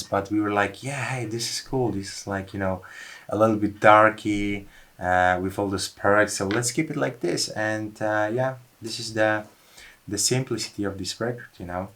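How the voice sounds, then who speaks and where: Low-voiced man, casual music producer. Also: normal speed, fairly bright tone. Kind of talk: casual track breakdown tutorial. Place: music studio. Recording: music playing behind the voice.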